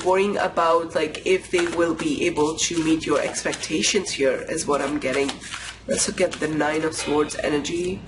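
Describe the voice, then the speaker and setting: A tarot reader giving a pick-a-card reading, voice nasal and a bit raspy from a cold, musing slowly with pauses. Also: medium pitch, slight background noise, low-quality recording. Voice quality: slightly raspy. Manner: unhurried, intuitive musing with pauses.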